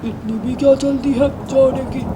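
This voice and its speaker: A woman's voice: high-pitched, steady tone, moderate pace, background noise, natural voice. A woman voicing greedy, bullying male owl villains with a gloating, boastful manner.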